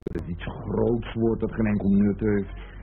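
A man's voice: fairly low pitch, steady tone, a little slow, creaky, a little hiss or hum. An actor in a Dutch radio play as a dreamy, teasing man who rambles playfully and philosophizes, on a muffled old recording. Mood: wistful musing. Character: dreamy, teasing idler musing about an unfinished boat.

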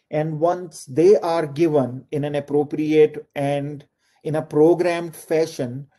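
A man's voice: on the high side, dark tone. A middle-aged man from Pakistan giving an online lecture from home over a video call, chatty and full of "like, you know" fillers. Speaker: university lecturer.

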